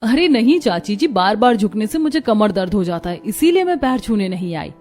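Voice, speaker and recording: A woman's voice: mid-range voice, sing-song, a little fast, darkish tone, faint music behind, close to an everyday voice. A woman voicing a haughty, scornful rich daughter-in-law in a cartoon story, curt and complaining. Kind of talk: Hindi moral cartoon story dialogue.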